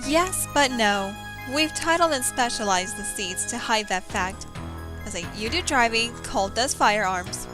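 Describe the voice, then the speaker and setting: Perky, bossy young cartoon heroine, played by a woman, high and animated, music clearly behind. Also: a little slow, rough recording. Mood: brisk, matter-of-fact. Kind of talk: cartoon parody dub.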